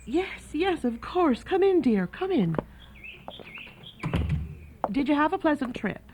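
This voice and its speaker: A woman's voice: high voice, sing-song, takes it slowly, background noise, heavily acted. An actress playing a kindly, chatty, fussing boarding house landlady in a period radio drama. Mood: welcoming. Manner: fussing, hospitable, gossipy. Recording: thin phone-like band, faint music behind.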